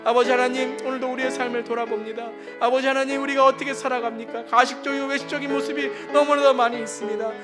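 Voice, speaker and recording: High-pitched man praying fervently over music. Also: flat delivery, fast talker, few pauses. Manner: pleading, repetitive invocations, emphatic. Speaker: pastor leading congregational prayer.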